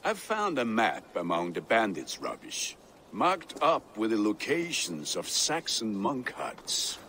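An actor playing a jovial, burly middle-aged Viking blacksmith in a video game, hearty and wistful in delivery. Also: male voice, lowish voice, huge pitch swings, takes it slowly, fairly bright tone, a little hiss or hum, full character voice. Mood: gruff good humor. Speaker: blacksmith character. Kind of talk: video game cutscene acting.

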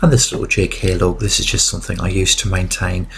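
A man's voice: low-pitched, fairly even intonation, fast talker, gravelly, slight background noise. A man giving an informal, conversational how-to narration as a DIY video host, explaining each step directly to viewers.

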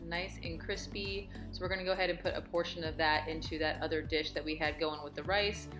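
A woman in her thirties vlogging at home, talking through her workouts and meal prep in an upbeat, encouraging, chatty way. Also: deep voice. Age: 30s. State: out of breath after a workout. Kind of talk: casual vlog narration. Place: home living room and kitchen.

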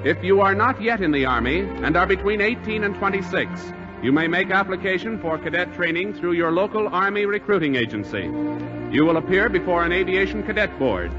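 High-pitched American wartime radio announcer, male. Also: dull audio. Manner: formal, declarative. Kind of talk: 1940s radio announcing, scripted narration. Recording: band-limited 1940s radio broadcast recording. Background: music.

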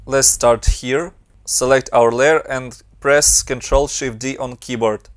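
A young adult man from Ukraine with a Ukrainian accent, giving brisk step-by-step narration for a software tutorial. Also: mid-range voice, fairly even intonation, no echo. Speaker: motion design tutorial host.

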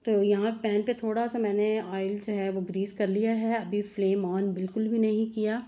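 A mother narrating a home cooking video, speaking to her viewers in a chatty, homely way. Her voice has a mellow, warm tone at a middle pitch, relaxed and unhurried. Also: muffled recording. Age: adult mother. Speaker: cooking channel host. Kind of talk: home cooking tutorial voice-over.

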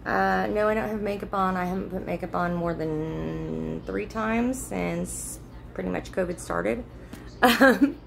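Gravelly woman chatting into her phone. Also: mid-range voice, slight background noise. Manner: rambling, chatty, many ums and restarts. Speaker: vlogger. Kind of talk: casual chat to followers. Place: motel room, indoors. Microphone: phone held at arm's length.

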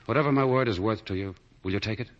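An actor playing an earnest, tender escaped prisoner in love, in a 1940s radio drama. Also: male voice, fairly low pitch, racing, close to an everyday voice. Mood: grave, pleading. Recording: muffled 1940 radio broadcast with music.

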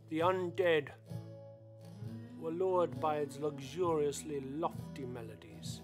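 A man reciting scripted narration for a radio play in theatrical, measured phrases. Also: high voice. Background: music.

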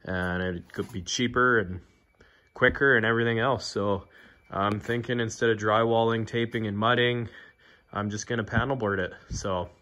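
A man's voice: fairly low pitch, fairly even intonation, moderate pace, sharp tone. A man casually walking through his shipping container build, rambling with lots of fillers and restarts, filmed on a handheld phone inside the container. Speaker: DIY video maker. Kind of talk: casual walkthrough vlog. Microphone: phone camera held in hand.

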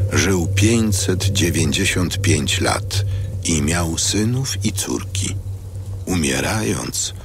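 A man narrating scripture from a script in a measured, solemn style. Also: low voice, slight background noise. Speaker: narrator of an audio Bible.

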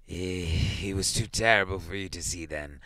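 A man voicing a fearful, grieving old husband with an unsteady, halting delivery in a storybook reading. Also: a bass voice, sing-song, creaky, husky, no echo, voice pushed down, exaggerated voice. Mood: shaken, halting. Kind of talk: dramatic storybook character reading.

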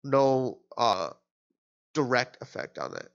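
A man's voice: medium pitch, big pitch swings, slow, smooth. A young man tutoring an exam problem in a casual, think-aloud way, a little nasal and stuffy from allergies. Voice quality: slightly nasal. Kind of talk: screen-recorded exam walkthrough. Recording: slightly dull lo-fi sound.

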